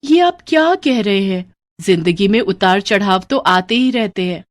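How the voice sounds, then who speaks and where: A woman's voice: mid-range voice, lively intonation, unhurried, no room sound, studio quality, close to an everyday voice. A woman voicing a humble, hopeful young maid who speaks respectfully. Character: hardworking cheerful young maid.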